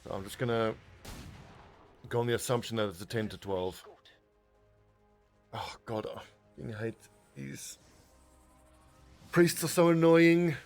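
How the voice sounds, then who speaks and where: A man's voice: medium pitch, a little slow, vocal fry, long pauses, faint music behind. A man casually commentating his own strategy game play, thinking aloud and swearing now and then. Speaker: gaming video host.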